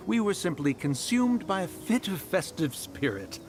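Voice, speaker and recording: A man's voice: high voice, sing-song, measured pace, noisy background. A man voicing an ancient beast spirit in disguise who speaks in grand archaic phrases, in a game cutscene. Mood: sheepish. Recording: game audio with music underneath.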